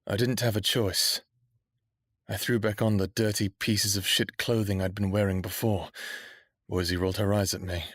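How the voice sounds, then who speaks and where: A man's voice: on the low side, flat delivery, normal speed, dry recording, studio quality, natural voice. A man playing a defiant, bitter young human captive in a vampire audio drama, with sardonic, brooding, profane delivery, both narrating and speaking. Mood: tense, brooding. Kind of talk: first-person audio drama narration and dialogue.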